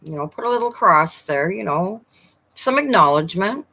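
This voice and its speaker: A woman with a Newfoundland accent chatting casually with viewers on a live stream, often pausing with fillers. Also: lowish voice, expressive, vocal fry, bright, forceful tone, poor audio. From Newfoundland, Canada. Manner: chatty, hesitant, many fillers.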